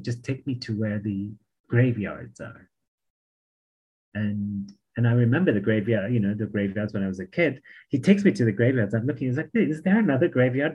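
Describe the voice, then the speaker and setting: A husky man with a British accent tells his stories with energy, slipping into the voices of the people he met. His voice is a little breathy, and he laughs easily as he goes. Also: on the low side, muffled recording. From Palestine. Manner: animated anecdotes, laughs often, acts out voices. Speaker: memoir author guest. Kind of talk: storytelling in an interview.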